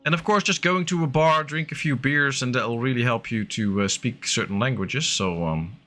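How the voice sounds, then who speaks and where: A man's voice: medium pitch, moderate pace, sharp tone, faint music behind. A man who is not a native English speaker, streaming a game and chatting casually with viewers, full of fillers. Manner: chatty, answering chat with frequent fillers. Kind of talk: casual live-stream chat while playing.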